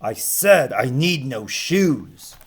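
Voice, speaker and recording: A man voicing a grumbling, hungry giant in a storybook, loud and slow. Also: medium pitch, big pitch swings, breathy edge, full character voice. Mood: bellowing, annoyed.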